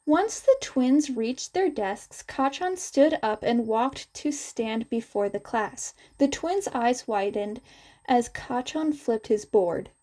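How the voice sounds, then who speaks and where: Female podfic narrator: higher voice, lively intonation, unhurried scripted reading.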